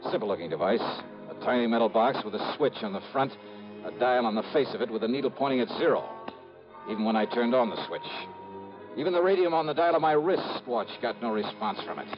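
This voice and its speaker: An American actor playing a brisk, blunt, hard-boiled insurance investigator in a 1950s radio drama. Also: male voice, medium pitch, expressive, lightly acted. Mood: wry narrating. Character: brisk, tough, no-nonsense male insurance investigator. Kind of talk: 1950s radio drama acting, first-person narration and dialogue. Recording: muffled vintage radio recording with music.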